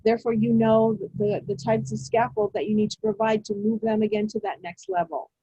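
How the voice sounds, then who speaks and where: A woman presenting a teacher-training webinar, talking in a measured way with many fillers and restarts. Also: a little high, level tone, warm tone, slightly rough, no room sound, low-quality recording. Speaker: education presenter. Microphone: video call.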